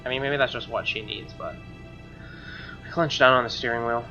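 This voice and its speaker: A man reading visual novel narration aloud as a let's player, expressive, with casual asides and reactions. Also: mid-range voice, normal speed. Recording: dull lo-fi mic, music clearly behind. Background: game music.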